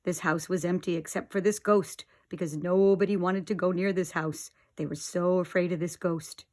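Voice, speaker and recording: A middle-aged woman, an expressive storyteller reading aloud for young children. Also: lowish voice, moderate pace.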